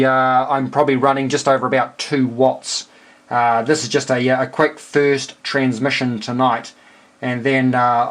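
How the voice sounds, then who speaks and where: A New Zealand man speaks as a ham radio hobbyist in a medium-pitched voice. He explains technical details casually, with a hesitant, stop-and-start delivery. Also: a bit bright. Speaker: amateur radio operator.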